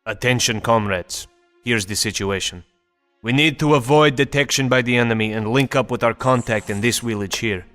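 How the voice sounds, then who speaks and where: A steady, resolute military commander played by a male actor briefs his squad in a game cutscene. He is matter-of-fact and commanding, with a medium-pitched voice and music playing behind him. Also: moderate pace, lightly acted. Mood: businesslike. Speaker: squad leader giving mission briefings.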